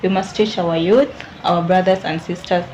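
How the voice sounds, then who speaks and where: A woman's voice: fairly low pitch, fairly even intonation, moderate pace, a little mellow, slight background noise, natural voice, poor audio. A woman playing a cautious, earnest figure who admonishes others in a radio drama. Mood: grieving, pleading. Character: cautious, earnest woman warning a reckless rider.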